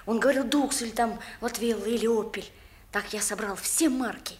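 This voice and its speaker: Young boy, played by a woman, high-pitched, earnest, eager, boyish, vintage radio play. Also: normal speed, a little hiss or hum, natural voice. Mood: eager, boastful. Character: earnest, eager young schoolboy on seaside holiday. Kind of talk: 1960s radio drama acting. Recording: 1960s Soviet radio drama recording.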